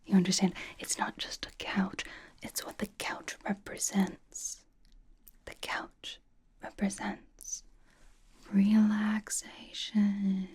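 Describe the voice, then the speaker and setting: A woman playing a cocky young female pop idol in an ASMR roleplay, whispering breathily with halting, teasing, dramatic emphasis. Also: medium pitch, level tone, breathy edge. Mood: reverent, grandiose.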